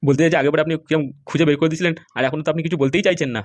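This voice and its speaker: A dutiful cartoon underling, voiced by a woman, deferential while passing on reports. The voice is low and dry, and it races along very quickly. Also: steady tone, a little mellow, dry recording. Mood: deferential, matter-of-fact. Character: dutiful underling relaying worried news to a madam. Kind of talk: comedy cartoon dialogue.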